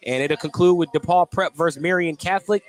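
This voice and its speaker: A man's voice: on the high side, fast talker. A man doing live radio play-by-play of a basketball game in clipped, descriptive running calls. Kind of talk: live radio sports commentary.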